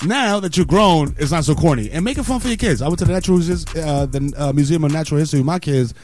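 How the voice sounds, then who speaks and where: This is a middle-aged man with a New York accent, hosting a morning radio segment. He talks in a high, lively register, full of slang, hype and easy laughter. Faint music sits underneath the voice. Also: fast talker. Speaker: radio show host. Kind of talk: morning radio show segment, casual talk.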